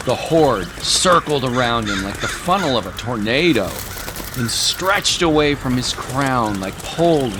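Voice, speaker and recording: A man narrating a dramatic story from a script in a solemn, deliberate manner. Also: mid-range voice. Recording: music behind the voice. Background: music.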